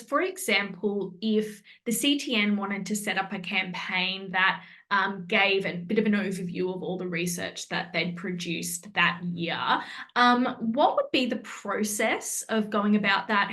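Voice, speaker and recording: A woman moderating an online session in an informal way, inviting and asking questions with hesitant pauses between phrases. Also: mid-range voice, fairly bright tone. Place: online video call.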